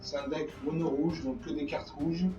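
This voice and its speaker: Hoarse man rambling over game music. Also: on the high side, little pitch movement, normal speed. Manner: rambling, repeats himself, many fillers. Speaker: gaming channel host. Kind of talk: casual game commentary. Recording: distant mic, echoey room, dull lo-fi sound, faint music.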